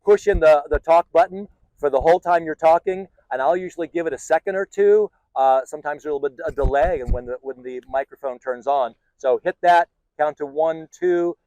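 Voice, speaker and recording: A man giving informal, practical instruction as a sailing teacher, explaining step by step with some halting self-corrections. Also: on the high side, darkish tone, no echo, rough recording. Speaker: sailing instructor and channel host. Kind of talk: informal instructional talk to viewers.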